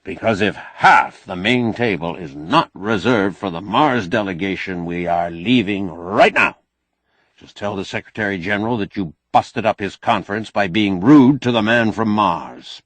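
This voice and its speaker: A man's voice: lowish voice, normal speed, a bit bright, no room sound. A man voicing a blunt, folksy old man who bargains shrewdly and sardonically in an audiobook novel. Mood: firm, threatening. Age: older. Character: crusty, shrewd old man, bossy, wry negotiator.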